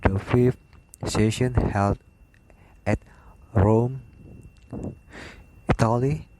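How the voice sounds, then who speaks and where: A man reading a slide lecture aloud as an instructor, in a halting, word-by-word manner with stumbles. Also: medium pitch, steady tone. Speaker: aviation lecturer. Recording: clearly noisy background, clicks.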